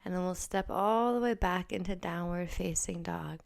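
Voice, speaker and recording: A woman instructor guiding a yoga class with a soft, breathy, gentle delivery. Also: lowish voice, normal speed.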